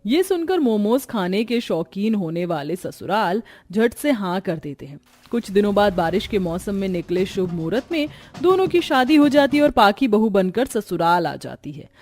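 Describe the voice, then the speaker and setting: A woman's voice: mid-range voice, darkish tone. A woman delivering scripted storytelling narration for a cartoon tale.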